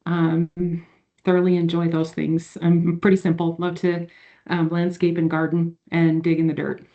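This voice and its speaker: A woman giving a hesitant, casual self-introduction over a video call, pausing often to find her words. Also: fairly low pitch, level tone, warm tone. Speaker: state director. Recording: dull lo-fi audio.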